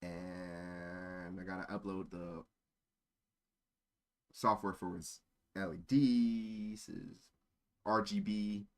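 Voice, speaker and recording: A man's voice: low voice, little pitch movement, very slow, long pauses. A man streaming casual chat in a rambling, easily sidetracked way. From born in Germany. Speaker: live streamer.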